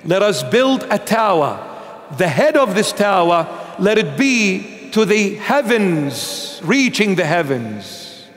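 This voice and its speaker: High-voiced bishop preaching in a church. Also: male voice, slow pace. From Iraq. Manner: emphatic, gesturing, animated preaching. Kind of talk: sermon with Bible reading. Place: large church sanctuary, seated at altar. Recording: long church reverb.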